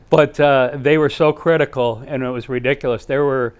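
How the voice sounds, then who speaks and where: A man's voice: medium pitch, steady tone, normal speed, clear, resonant tone. A middle-aged man telling anecdotes casually as a sports guest, rambling and repeating phrases as he goes.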